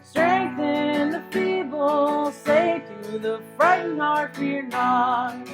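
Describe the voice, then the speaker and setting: A woman's voice: sits high, takes it slowly. A woman church singer performing a Christmas hymn. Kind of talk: singing a Christmas hymn with instrumental accompaniment. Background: guitar music.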